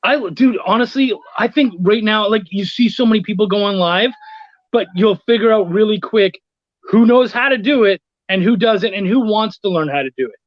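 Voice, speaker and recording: Guy guest on a video chat, pretty high voice, slangy, dry muffled audio. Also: moderate pace, no room sound. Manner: informal, slangy. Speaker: guest, comics writer and editor.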